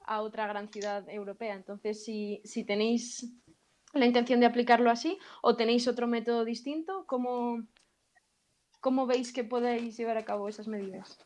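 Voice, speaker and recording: A woman interviewer asks long, detailed questions. Her voice sits fairly high but stays level, with little rise and fall. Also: fairly even intonation, normal speed, a little mellow.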